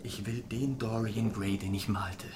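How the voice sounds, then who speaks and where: A man's voice: on the low side, steady tone, a little slow, background noise, lightly acted. Pained, earnest male painter played by an actor. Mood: sorrowful. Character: anguished, reproachful male painter, devoted and moralizing. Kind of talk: German radio drama acting.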